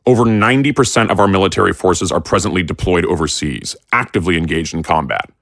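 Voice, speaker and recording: An actor playing a hawkish army general who briefs in a formal, measured, ominous way. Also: male voice, low voice, creaky, fairly bright tone, no room sound. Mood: grave. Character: grave hawkish army general, middle-aged man.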